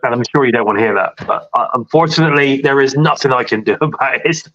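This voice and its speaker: A man's voice: medium pitch, fast, a bit bright, dull audio. A man hosting a casual wrestling podcast, congested with a cold and chatting in a rambling way with lots of fillers. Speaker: podcast host.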